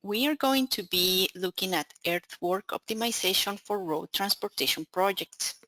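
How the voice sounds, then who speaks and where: A woman's voice: mid-range voice, lively intonation, moderate pace, sharp tone, no echo, poor audio. Spanish-accented woman presenting a webinar. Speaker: account manager presenting a webinar.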